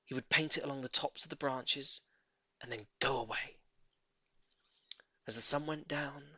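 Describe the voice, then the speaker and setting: Male audiobook narrator for children's fiction: emphatic, lively, forceful tone, very dry recording. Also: a little high, vocal fry, no echo. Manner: theatrical, emphatic storytelling. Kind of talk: expressive scripted narration of a children's story.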